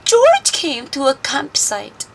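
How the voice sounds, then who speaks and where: A woman reading a children's story aloud in an expressive, deliberate and animated way. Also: high voice, vocal fry. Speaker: storybook reader. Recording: faint background noise.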